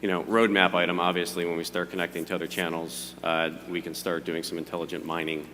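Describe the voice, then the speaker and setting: A man pitching his startup product to judges, eager and quick, repeating his words. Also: little pitch movement, a bit bright, big-room sound, slight background noise. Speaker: startup founder presenting.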